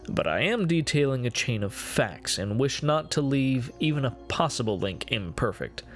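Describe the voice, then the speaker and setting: A man voicing a remorseful, perverse man confessing dark crimes in a solemn, theatrical reading of a gothic horror story. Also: on the low side. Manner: solemn, deliberate, theatrical, formal.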